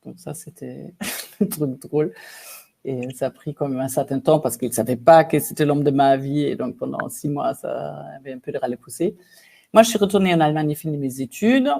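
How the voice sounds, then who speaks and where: A woman in her fifties from Germany with a German accent, a coach telling her life story as a podcast guest, lively with many fillers. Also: low-pitched, normal speed, vocal fry. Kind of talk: casual storytelling interview.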